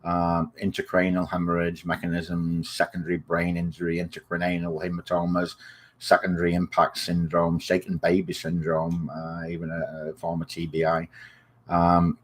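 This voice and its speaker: A man from England whose accent has softened after years in the US, hosting a health explainer video. He rambles and digresses, with many ums and uhs. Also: low-pitched, monotone, normal speed, a little hiss or hum. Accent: English, softened by years in the US. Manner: friendly sign-off. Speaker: video series host. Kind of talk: informal spoken health explainer.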